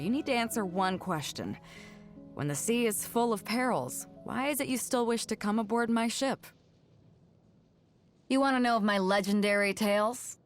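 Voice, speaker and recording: A woman's voice: lowish voice, close to an everyday voice. An actress voicing a bold, hearty female sea captain in a video game, with a confident, breezy, casual manner. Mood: confident, challenging. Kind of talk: scripted game character voice lines. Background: music behind the voice.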